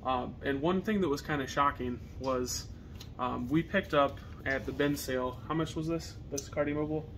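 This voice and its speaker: A young man with an American accent chatting casually to camera as a reseller, with halting phrasing. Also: a little high, a bit bright. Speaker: reseller co-hosting a video channel. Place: partially finished basement, indoors. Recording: noisy basement room recording.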